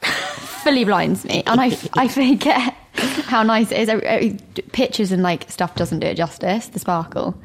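A woman's voice: medium pitch, moderate pace, husky. A young woman co-hosting a podcast, chatting in a gushing, teasing way. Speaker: podcast co-host. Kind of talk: casual chat.

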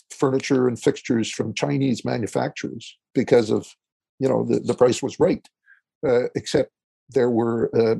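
Man from Eastern Ontario, French first language, gravelly, husky, dry sound, halting with fillers. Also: mid-range voice, warm tone, dry recording. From Eastern Ontario, Canada. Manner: halting, many fillers and restarts. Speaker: guest, consultant. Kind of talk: conversational interview answers.

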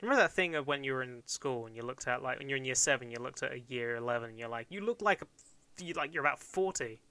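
Young man, from Kent, podcast co-host, very bright tone, joking, rambling. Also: mid-range voice, lively intonation, fast talker, dry recording. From Kent, England. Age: 20s. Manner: joking, rambling, laughs often. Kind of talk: casual chat.

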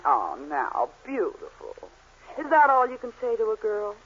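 Gushing, flirty gold-digger, swooping pitch. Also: female voice, wildly swooping pitch. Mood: seductive, gushing. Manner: coy, cooing, drawn-out endearments. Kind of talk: 1940s radio comedy acting. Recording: muffled, scratchy 1940s radio recording.

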